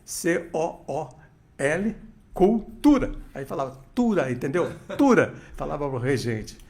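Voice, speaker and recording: An older man, telling anecdotes in a casual interview. His voice sits a little high and moves a lot. He restarts his sentences often and acts out the quoted lines. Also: measured pace. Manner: animated anecdotes, many restarts and quoted dialogue. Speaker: interview guest, radio veteran.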